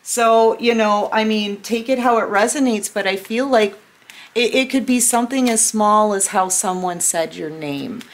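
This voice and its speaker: A woman's voice: medium pitch, moderate pace. Earnest woman giving a tarot reading. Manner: earnest, emphatic, addresses listener directly with repeated check-ins. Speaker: tarot reader and channel host. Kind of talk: intimate spoken tarot reading to camera. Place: indoor room at a tarot table.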